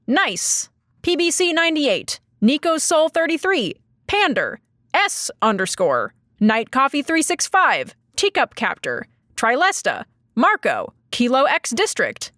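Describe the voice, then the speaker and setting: A woman with a fairly high, very animated voice presents a scripted podcast announcement. The sound is dry and close, with hardly any room in it. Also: dry recording. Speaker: podcast writer and director, presenting.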